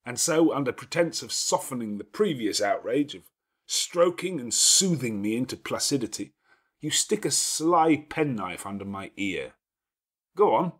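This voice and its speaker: Man acting an imperious, sardonic gentleman, louder and lively. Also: medium pitch, unhurried, husky, voice pushed up. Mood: sardonic, challenging. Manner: commanding, sardonic, elaborately phrased. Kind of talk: dramatic audiobook character dialogue.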